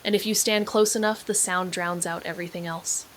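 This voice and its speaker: An actress playing a sharp young woman investigator who narrates in a wry, clipped way. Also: fairly low pitch, steady tone, brisk, a little hiss or hum, natural voice. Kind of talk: audio drama acting and narration.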